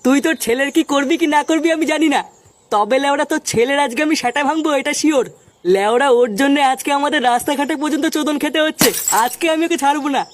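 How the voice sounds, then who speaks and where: A woman's voice: high voice, fast, natural voice. A woman voicing a hot-headed, bullying male club tough in a comic cartoon dub, crude and threatening. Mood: blustering anger.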